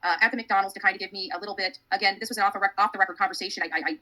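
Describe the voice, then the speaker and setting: A woman's voice: medium pitch, fairly even intonation, racing, pushed and bright, poor audio. An American woman hosting a livestream, talking casually to her followers in a rambling, repetitive way. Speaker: online true-crime livestream host.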